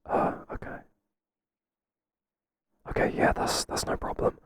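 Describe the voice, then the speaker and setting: A man playing a hesitant, flattering boss in a whispered ASMR roleplay, speaking softly and breathily in a halting, confiding way. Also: close to an everyday voice. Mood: reassuring, businesslike. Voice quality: soft, breathy, mostly whispered.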